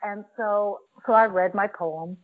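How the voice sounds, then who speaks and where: A woman guest on a podcast telling a personal story in a reflective, halting way, with many restarts. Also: mid-range voice, steady tone. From New York. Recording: tinny phone-line audio.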